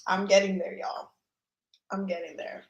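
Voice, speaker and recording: A woman's voice: mid-range voice, flat delivery, measured pace, reverberant, muffled recording, a little hiss or hum. A woman hosting a casual tarot live stream who chats and laughs while she eats. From Dominican. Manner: chatty and laughing, talks while eating.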